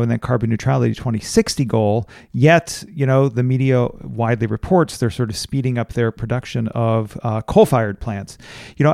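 A man hosting an interview podcast, asking measured, thoughtful questions with a few fillers. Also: medium pitch, vocal fry, a little mellow, dry recording, studio quality. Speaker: podcast host.

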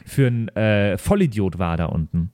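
A man's voice: on the low side, expressive, normal speed, warm tone. Casual male podcast host. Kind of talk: casual chat.

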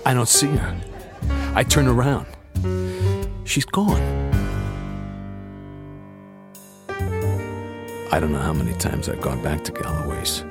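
A man's voice: extremely low pitch, big pitch swings, normal speed, a loud crowd, exaggerated voice. An actor playing a world-weary barfly who tells his tale in hardboiled radio drama style, laconic and musing. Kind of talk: radio drama narration, hardboiled first person. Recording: loud music bed behind the voice.

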